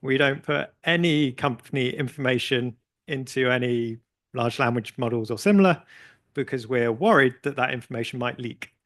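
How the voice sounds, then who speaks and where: A man speaking as a panelist at an industry forum, relaxed and hesitant with many fillers. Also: medium pitch, moderate pace. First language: English. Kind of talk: conversational panel discussion.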